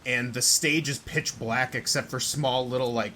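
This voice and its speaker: A man's voice: mid-range voice, steady tone, moderate pace, background noise. A man playing a tabletop game, eagerly describing his character's entrance. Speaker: player. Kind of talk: casual tabletop play.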